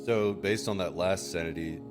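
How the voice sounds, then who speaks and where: Male tabletop player, deep register, casual unscripted table talk, noticeable music bed. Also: normal speed. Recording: music playing under the voice. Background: background music.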